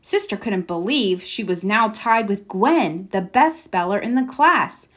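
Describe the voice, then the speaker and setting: A woman giving an expressive read-aloud narration of a children's storybook. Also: mid-range voice, unhurried.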